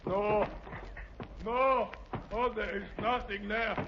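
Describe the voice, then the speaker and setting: A man's voice: high voice, fairly even intonation, slow pace. A man playing a timid, bewildered henchman, faltering and frightened in a 1940s radio drama. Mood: bewildered fear. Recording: muffled, noisy old radio recording.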